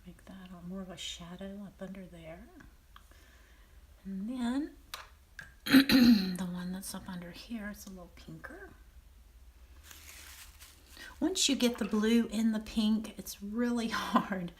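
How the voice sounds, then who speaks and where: Raspy southern lady teaching painting, slow and half whispered, faint hum behind. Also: mid-range voice. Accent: Southern American. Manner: unhurried, thinking aloud while painting, frequent throat clearing. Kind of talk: painting demonstration.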